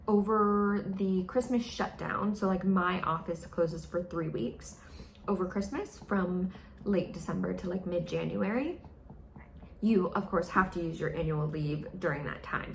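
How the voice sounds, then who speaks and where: A young American woman chatting casually in a vlog, full of fillers and playful quoted voices. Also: gravelly. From United States. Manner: chatty, with many fillers, mimics others in high voices. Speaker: vlogger. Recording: echoey room, faint noise.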